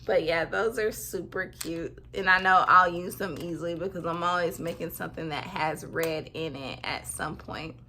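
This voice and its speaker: A woman's voice: on the low side, moderate pace, hardly any pauses, bright, forceful tone, slight background noise. A woman in her thirties, a sewing vlogger, chatting casually and giggling often as she talks to camera. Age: 30s. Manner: giggly, bubbly, lots of "like". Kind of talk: casual chat.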